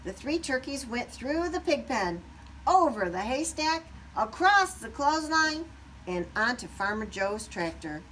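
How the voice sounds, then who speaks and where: A woman reading a children's story aloud expressively, pausing at times to put questions to young listeners. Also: a little high, big pitch swings, fairly bright tone, far from the mic, a little hiss or hum. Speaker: storybook reader.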